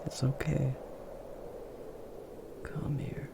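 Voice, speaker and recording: A man voicing a big, gentle, protective werewolf in a comforting ASMR roleplay, speaking in a hushed, soothing, breathy murmur. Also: medium pitch, flat delivery, drawn-out words, exaggerated voice. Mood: tender, soothing.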